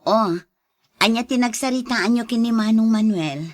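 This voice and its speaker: A woman's voice: a little high, normal speed, lightly acted. A woman playing an attentive, curious wife in an Ilocano radio drama. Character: attentive wife serving coffee, curious about family affairs.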